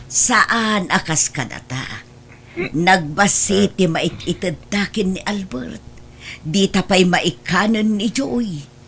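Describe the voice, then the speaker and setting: A woman's voice: mid-range voice, expressive, slow pace, a bit bright, a little hiss or hum. A woman playing a long-suffering, affectionate older mother with a Filipino accent, speaking plaintively in an Ilocano family radio drama. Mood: reproachful.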